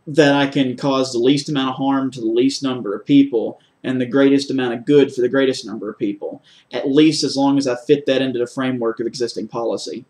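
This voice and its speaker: A man with a mid-pitched voice speaks as a candidate in a formal job interview. He is measured and methodical, laying out his answers step by step. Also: fairly even intonation, a little mellow. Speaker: job candidate for a security officer post.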